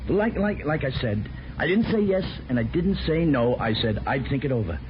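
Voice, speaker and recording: Man playing a lively, evasive forty-year-old American schemer. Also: mid-range voice, normal speed, natural voice. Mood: defensive. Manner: hedging, defensive. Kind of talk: 1950s American radio drama acting. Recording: muffled, noisy 1950s radio recording.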